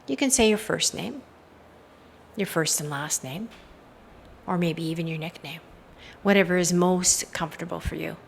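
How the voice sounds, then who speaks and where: A woman with a low voice leads a guided meditation. Her delivery is soothing, with creaky fry as phrases trail softly away. A faint layer of background noise sits under the recording. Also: normal speed. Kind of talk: guided meditation, hypnotic induction.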